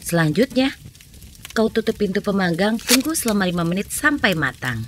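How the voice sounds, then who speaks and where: A woman's voice: mid-range voice, very animated, moderate pace. A woman voicing a sly, hungry cartoon fox who puts on a playful, coaxing act in a theatrical way. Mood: scheming. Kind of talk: animated children's fairy-tale acting. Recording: clearly noisy background.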